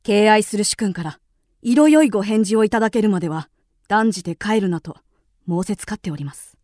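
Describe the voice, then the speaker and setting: A woman's voice: mid-range voice, brisk, a little mellow, dry recording, studio quality, close to an everyday voice. A woman acting in a radio drama as an earnest, steadfast young male envoy, speaking formally and with firm deference. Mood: earnest, resolute.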